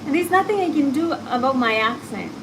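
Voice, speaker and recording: A woman's voice: high-pitched, a little fast. A woman with an African accent, talking casually and reflectively as a podcast host. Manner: candid, reflective, talks to a guest and listeners. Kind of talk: casual chat. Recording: steady background noise.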